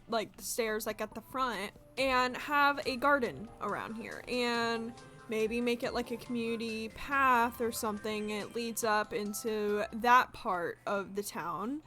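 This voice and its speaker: A young woman with a congested voice, chatting casually and excitedly as she builds in a video game. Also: fairly high pitch. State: congested from allergies. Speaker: gaming video creator. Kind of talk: casual gameplay commentary. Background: soft game music.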